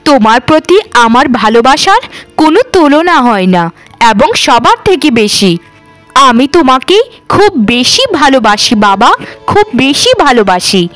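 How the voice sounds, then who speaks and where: Woman doing earnest young cartoon daughter, highish voice, super expressive, music behind. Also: moderate pace. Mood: adoring. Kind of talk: dramatic cartoon voice acting.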